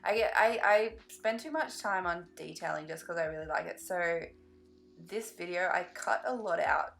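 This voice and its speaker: A young woman chatting casually over a game build in a rambling, easily sidetracked way, her voice slightly croaky from tiredness. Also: fairly low pitch, moderate pace, fairly bright tone, soft background music. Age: young adult. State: slightly croaky from lack of sleep. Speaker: gaming video creator.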